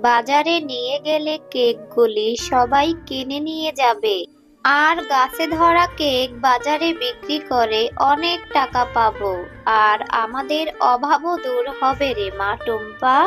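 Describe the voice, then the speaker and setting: A protective cartoon mother fox, played by a woman, with a high voice and a slow, expressive way of speaking. Faint children's music runs underneath. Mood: hopeful. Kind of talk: cartoon voice acting.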